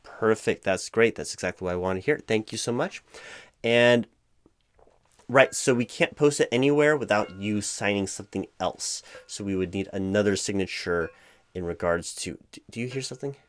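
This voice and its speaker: A man playing a flustered, distracted hiring manager in a comedic audio roleplay, speaking in a halting, stammering, awkwardly formal way. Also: lowish voice. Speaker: performer playing a job interviewer. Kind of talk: one-sided comedic audio roleplay, loud spoken.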